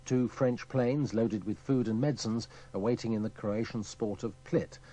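Mellow, husky male newsreader. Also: on the low side, normal speed. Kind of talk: scripted news reading.

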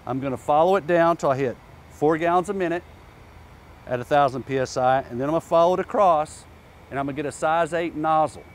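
A man's voice: a little high, fairly quick. A man in his forties giving a plainspoken, practical how-to demonstration outdoors on a lapel mic. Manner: plainspoken, practical, casual asides. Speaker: company presenter. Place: outdoor industrial yard.

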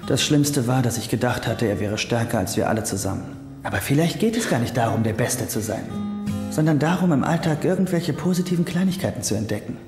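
Man acting as boyish young doctor, earnest, reflective, animated pitch, music behind, comedy-drama dub. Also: a little fast, reverberant, long reverb tail, lightly acted. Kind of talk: German TV comedy-drama dubbing with reflective narration.

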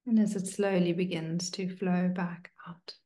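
A woman meditation teacher leading a guided meditation in a hushed, soothing manner, leaving long pauses between short phrases. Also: on the low side, little pitch movement. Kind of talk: guided meditation, slow and spoken to listeners. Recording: roomy, echoing sound.

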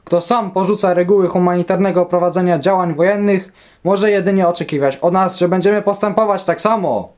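A high-pitched man reads historical speeches and announcements. His delivery is solemn and scripted, suited to a radio documentary. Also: fairly even intonation, brisk, darkish tone.

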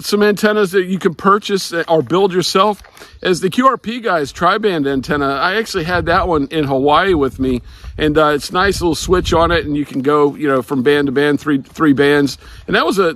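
Casting: older male hobby vlogger, slightly high voice, casual explainer, halting and rambling, recorded outdoors. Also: a bit bright. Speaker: ham radio vlogger. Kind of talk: casual explanatory talk to camera. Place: outdoors in a grassy backyard.

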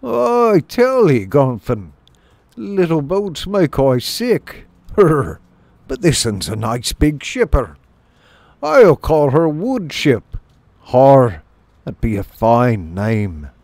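A man voicing an excitable, simple country mole in a storybook, speaking broad rustic dialect full of wonder. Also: mid-range voice, very slow, no room sound, voice pushed up, exaggerated voice. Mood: cheerful, chuckling. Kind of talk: storybook character voice.

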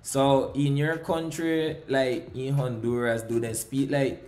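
A man's voice: mid-range voice, little pitch movement, slow, a little mellow. A man hosting a casual video call interview, asking loose, hesitant questions from an indoor room. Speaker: interviewer, channel host.

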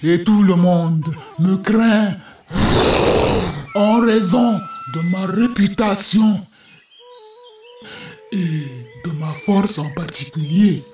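A man voicing a mighty, lonely lion king in an animated African folktale, speaking solemnly and mournfully. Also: high-pitched, drawn-out words, long pauses. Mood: sad, bitter. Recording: echoey, distant, over music. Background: music.

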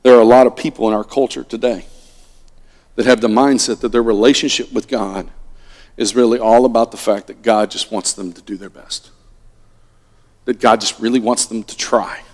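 A middle-aged man preaching a sermon from a pulpit, swinging between loud animated lines and hushed ones. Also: mid-range voice, moderate pace. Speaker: pastor. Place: church sanctuary at a pulpit. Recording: long reverb of a church hall.

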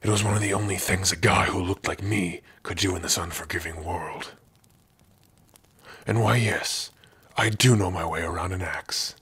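A man voicing a big, gruff orc prisoner in an ASMR roleplay, brooding and sardonic, with a husky, rough voice. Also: low-pitched, fairly even intonation, normal speed, a bit bright, no room sound, full character voice. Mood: warming, gruff. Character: big gruff male orc prisoner, guarded but lonely. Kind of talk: ASMR roleplay addressed to the listener.

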